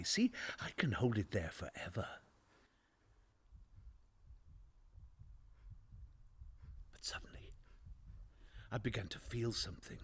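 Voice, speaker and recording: An actor playing a mad, obsessive, boastful murderer who narrates a radio play in a halting, theatrical way, moving between whispers and shouts. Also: male voice, fairly low pitch, slightly rough, full character voice. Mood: hushed, stealthy. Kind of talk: dramatic radio play monologue. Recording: live-recorded radio play.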